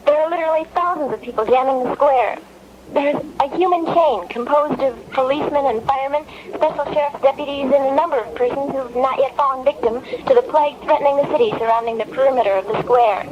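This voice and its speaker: A woman's voice: high voice, fast, natural voice. An actress playing a shaken woman reporter in a 1970s radio drama, giving a grave, dramatic mock live report from a disaster. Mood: horrified, urgent. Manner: dramatic, grave, ornate scripted delivery. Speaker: field reporter. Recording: muffled, noisy old radio broadcast tape.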